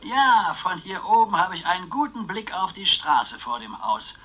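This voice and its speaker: An eccentric, scheming old uncle in his eighties for radio drama. His voice is very bright and on the high side, swinging widely as he chats theatrically and playfully, stretching out his phrases. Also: lively intonation, husky, full character voice, poor audio. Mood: dreamy. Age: 80s. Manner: theatrical, chatty, drawn-out phrases. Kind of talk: German radio crime drama acting.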